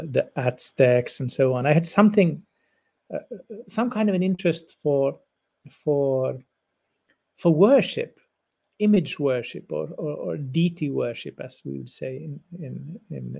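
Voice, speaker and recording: A man around fifty from Finland, whose first language is Swedish, answers interview questions in a thoughtful, halting way with frequent restarts. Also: mid-range voice, creaky, mellow. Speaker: guest lecturer.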